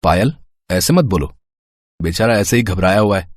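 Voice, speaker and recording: A man's voice: fairly low pitch, animated, normal speed, no echo, clean studio sound, lightly acted. A man playing an easygoing, kindly young city student in a story, reassuring and casual. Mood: calm.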